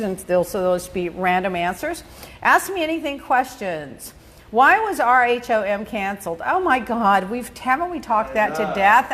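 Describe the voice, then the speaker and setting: Animated woman hosting a gossipy podcast. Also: mid-range voice. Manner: animated, gossipy, laughs often, acts out voices. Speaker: podcast host. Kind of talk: casual chat, answering listener questions. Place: home studio office, indoors. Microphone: desk mic on stand.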